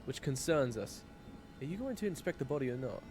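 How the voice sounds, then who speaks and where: A man's voice: mid-range voice, very animated, a little fast, music in the background, natural voice. A man playing a solemn, skeptical small-town guard in a fantasy audio drama, formal and wary. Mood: wary, curt. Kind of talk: fantasy audio roleplay acting.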